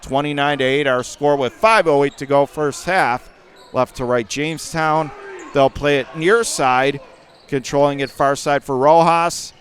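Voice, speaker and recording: A man doing live radio play-by-play of a basketball game in clipped running phrases, with excitable calls. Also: on the high side, measured pace. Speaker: play-by-play commentator.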